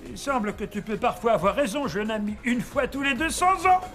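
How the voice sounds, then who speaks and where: A man voicing a pompous, teasing, long-winded commentator in an animated film. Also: very high voice, brisk. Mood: amazed, mocking. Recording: loud music and crowd noise behind.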